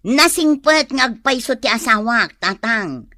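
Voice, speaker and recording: A woman's voice: a little high, lively intonation, a little slow, bright, forceful tone, no echo, clean studio sound. A woman with a Filipino accent playing a scolding, protective young wife in a comedy radio drama. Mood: firm, protective.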